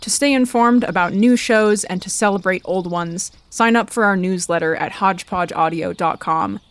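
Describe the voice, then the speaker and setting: A woman's voice: fairly low pitch, normal speed. A woman announcer reading scripted credits for a fiction podcast.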